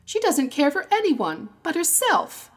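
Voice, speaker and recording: A woman's voice: high voice, huge pitch swings, unhurried, voice pushed up, full character voice. A woman voicing outspoken, indignant schoolgirls in a story, speaking emphatically.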